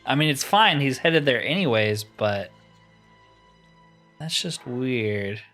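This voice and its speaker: A man's voice: mid-range voice, expressive, slow, a bit bright, faint music behind. A man giving casual, informal let's play commentary on a roleplaying video game, thinking aloud as he plays.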